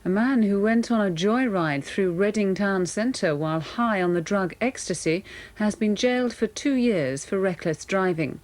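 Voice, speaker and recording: A woman's voice: mid-range voice, a little mellow. A woman reading a scripted regional television news bulletin as presenter.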